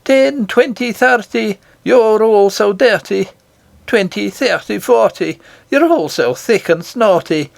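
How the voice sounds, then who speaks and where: Man playing a high-pitched, chanting, defiant boy. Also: animated, takes it slowly, creaky, no room sound, heavily acted. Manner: sing-song rhythmic chanting. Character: brave gentle miner boy, chanting defiant nonsense rhymes. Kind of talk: children's storybook character voice.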